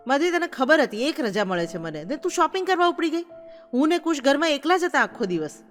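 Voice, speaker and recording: A woman's voice: high voice, big pitch swings, a little fast, pitched above the performer's own voice. A woman voicing an irritable, complaining young husband in an audio story, exasperated and emphatic. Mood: accusing. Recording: long reverb, faint music behind.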